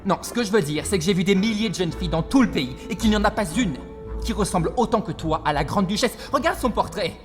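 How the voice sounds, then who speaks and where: A man dubbing a sly, smooth-talking young male con artist in an animated film. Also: sits high, fast. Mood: eager persuasion. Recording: long hall reverb, film music behind.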